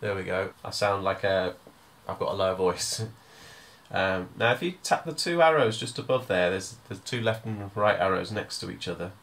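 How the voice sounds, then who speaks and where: Low-voiced man giving a tutorial. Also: lively intonation, normal speed, fairly bright tone, a little hiss or hum. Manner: informal, hesitant step-by-step explaining. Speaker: music tutor. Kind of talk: hands-on software tutorial.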